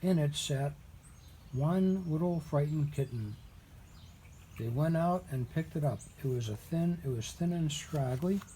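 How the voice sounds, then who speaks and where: A man reading a storybook aloud as a father would, with deliberate, emphatic storytelling. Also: on the high side, animated, warm tone, slight background noise, rough recording.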